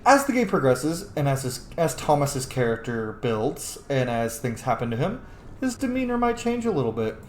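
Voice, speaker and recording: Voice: medium pitch, big pitch swings, normal speed. A video game streamer giving casual let's play commentary, playful and sweary, often thinking aloud. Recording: echoey room with faint noise.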